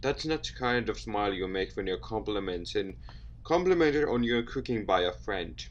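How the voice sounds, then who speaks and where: A man's voice: on the low side, expressive, moderate pace, lo-fi sound, pitched below the performer's own voice, natural voice. A man playing a wry, self-doubting teenage boy, the protagonist of a visual novel, in a dry and brooding manner.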